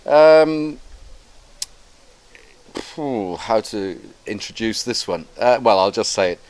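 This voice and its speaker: A man's voice: on the low side, lively intonation, slow, a little mellow. A man hosting a casual English lesson as the teacher, prompting with questions and clues.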